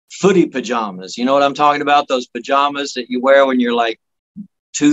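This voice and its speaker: A man from Miami, Florida, a guest musician telling casual anecdotes in a halting, folksy, jokey way. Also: mid-range voice, a bit bright, highs cut off. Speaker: guest musician, singer-songwriter.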